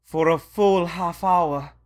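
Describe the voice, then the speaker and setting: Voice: medium pitch, steady tone, slow, lightly acted. An actor playing a timid, loyal young manservant in an audio drama, hesitant and deferential. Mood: guarded. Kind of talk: audio drama acting of modernized Shakespeare.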